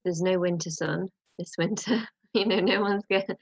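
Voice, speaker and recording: British woman, young, low voice, gravelly, slightly croaky from a cold, chatty podcast co-host. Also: fairly even intonation, moderate pace, dry recording. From Britain. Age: young adult. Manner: chatty, rambling with fillers. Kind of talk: casual chat.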